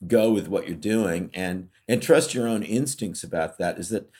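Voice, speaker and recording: A man's voice: low voice, normal speed, darkish tone, slightly rough. A middle-aged man from California, co-hosting a podcast in casual, reflective conversation full of fillers and restarts. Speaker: podcast co-host.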